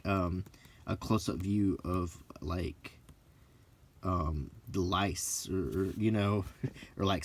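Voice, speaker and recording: A man's voice: low voice, a little mellow, a little hiss or hum. A middle-aged man chatting casually and unscripted as he browses books, with nostalgic, mildly grumbling asides. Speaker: vlogger flipping through game books. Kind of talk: casual unscripted chat, unintentional ASMR.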